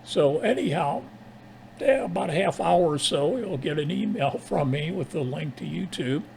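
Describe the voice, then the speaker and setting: An American man with a creaky, gravelly voice chats casually as a ham radio operator. He talks in a halting, rambling manner. There is some faint noise behind him. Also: a little high, animated, a little mellow. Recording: clearly noisy background.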